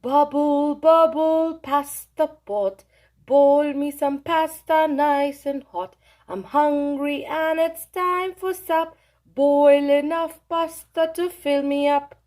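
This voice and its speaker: A woman's voice: high-pitched, takes it slowly, a little mellow, voice pushed up. A woman voicing a bossy but kindly old village grandma witch in a storybook, commanding and emphatic. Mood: singsong chanting.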